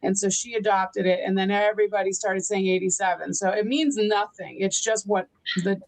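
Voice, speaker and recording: A woman in her forties with a middle pitch, chatty and rambling. She tells a little story in a casual livestream way. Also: fairly even intonation, moderate pace, low-quality recording. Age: 40s.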